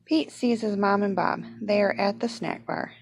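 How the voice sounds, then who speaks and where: A woman reading a children's picture book aloud in an expressive storytelling manner. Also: mid-range voice, normal speed, creaky, slight background noise. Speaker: storybook reader.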